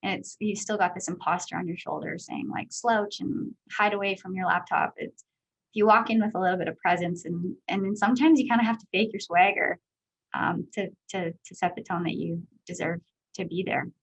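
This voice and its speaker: A woman in her thirties from Canada with a high voice, answering interview questions as a guest speaker in a candid, chatty way full of fillers. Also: steady tone, brisk, creaky, slightly rough, low-quality recording. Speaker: guest speaker, company executive.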